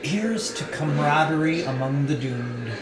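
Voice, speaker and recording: A man's voice: a little high, animated, normal speed, crowd noise, lightly acted. A man playing a terminally ill, drily jolly drinking companion in a dramatized novel reading, sardonic and theatrical. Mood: dry sardonic. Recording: roomy echo, music behind.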